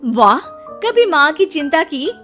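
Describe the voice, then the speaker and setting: Accusing elder brother acted by a woman, high-voiced. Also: big pitch swings, takes it slowly, sharp tone, faint music behind, exaggerated voice. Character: resentful, stern grown elder brother. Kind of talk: storybook character acting.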